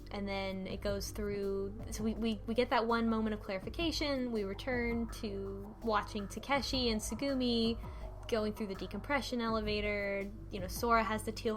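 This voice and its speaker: A woman's voice: mid-range voice, a bit bright. A woman talks as a podcast host in a casual group discussion. She retells a story in a loose, rambling, conversational way, with faint music behind her voice. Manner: rambling, conversational, quotes characters informally. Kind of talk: casual book club discussion, retelling a visual novel.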